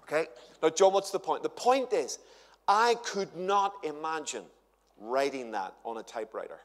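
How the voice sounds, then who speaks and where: A man's voice: a little high, lively intonation, normal speed, fairly bright tone. A man, preaching at a church conference with a chatty, humorous storytelling manner. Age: 50s. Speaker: guest conference speaker. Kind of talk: conference sermon to a live audience. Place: large church hall with stage and audience. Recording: long hall reverb.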